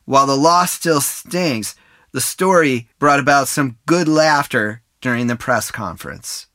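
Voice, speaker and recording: A man hosting a sports podcast, reading scripted narration with a smooth, even delivery. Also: medium pitch, unhurried, no echo, studio quality. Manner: smooth read-aloud delivery. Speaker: podcast host.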